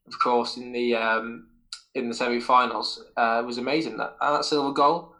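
A man's voice: medium pitch, flat delivery, normal speed, pushed and bright, poor audio. A man hosting a football podcast, talking casually and enthusiastically through detailed football memories in a rambling way. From Wales. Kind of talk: casual sports chat.

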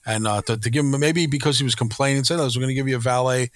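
A man's voice: medium pitch, fairly even intonation, racing, no room sound, studio quality. A man co-hosting a wrestling podcast in casual, opinionated chat, emphatic and repeating words when worked up.

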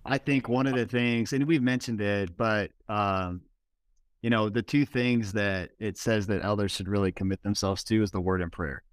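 A man's voice: lowish voice, fairly even intonation, normal speed, no echo. A male guest pastor explaining plainly and practically in a casual podcast conversation.

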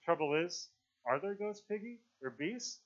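Boy leader for storybook adult male reader: high, lively pitch, earnest, wavering, rule-bound. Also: muffled recording. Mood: bleak, doubtful. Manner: halting, self-questioning, insistent about rules.

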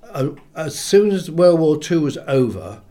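A man's voice: a little high, a little slow. Older English-born man reminiscing animatedly. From England. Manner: rambling, animated, acts out quoted voices. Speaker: composer, interview guest. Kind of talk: reminiscing interview.

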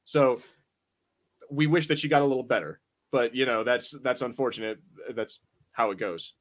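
A man's voice: mid-range voice, normal speed. A man hosting a podcast in a casual, informal, slangy chat style. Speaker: podcast host.

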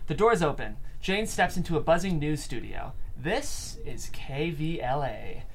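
A man's voice: on the high side, lively intonation, unhurried, vocal fry, a little hiss or hum. A man from the American Midwest hosting a table read and narrating the stage directions. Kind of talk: animated script narration.

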